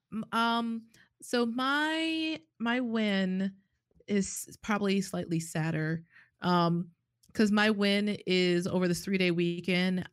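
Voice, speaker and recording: A woman in her forties, a clinician, chatting casually in an animated, easy way. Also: lowish voice, clear, resonant tone, no echo, studio quality. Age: 40s. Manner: chatty, quick to laugh, animated. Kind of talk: casual chat.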